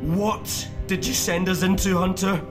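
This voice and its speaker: A man's voice: sits high, steady tone, a little slow, music in the background. An actor playing a desperate man in an audio drama, pleading and insistent as he confronts his brother. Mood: desperate demanding.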